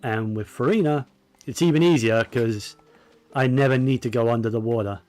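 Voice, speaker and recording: A man giving casual gameplay commentary, musing to himself in a low-key way. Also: normal speed, darkish tone. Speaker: gaming streamer. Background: faint game music.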